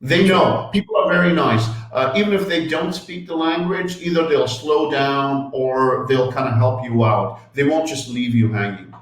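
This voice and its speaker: Animated Canadian man, echoey call. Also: medium pitch, normal speed, few pauses. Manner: animated, restarts often. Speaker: guest. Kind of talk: casual interview chat.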